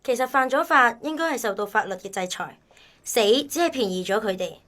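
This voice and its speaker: A woman's voice: fairly high pitch, expressive, brisk, fairly bright tone. A woman acting an authoritative, fair-minded police inspector in a radio drama, with a Hong Kong Cantonese accent. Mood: earnest, measured.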